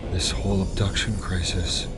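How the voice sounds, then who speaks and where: A man's voice: sits low, little pitch movement, moderate pace, loud music behind the voice, close to an everyday voice. A man playing a grave, brooding human piecing things together in a sci-fi audio drama. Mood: grave, accusing.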